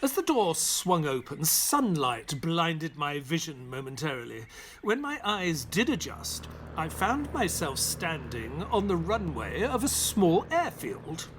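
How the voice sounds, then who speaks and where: An actor playing an earnest, bewildered doctor, polite and old-fashioned, in an audio drama. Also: male voice, fairly high pitch, very animated, a little slow, fairly bright tone, a little hiss or hum. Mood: narrating, sober. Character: earnest, bewildered British doctor, gentleman narrator of adventures. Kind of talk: audio drama acting and scripted narration.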